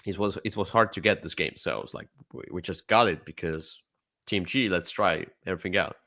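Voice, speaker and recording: A man co-hosting a casual board game discussion, enthusiastic, with halting, repetitive phrasing. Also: low-pitched, pushed and bright. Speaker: co-host.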